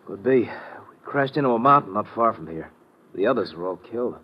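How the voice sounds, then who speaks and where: An actor playing an injured, stranded American pilot, plain-spoken and folksy, in a vintage radio drama. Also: male voice, lowish voice, lively intonation, brisk, slightly rough. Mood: weary, explaining. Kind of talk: 1950s radio drama acting. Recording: muffled vintage radio recording.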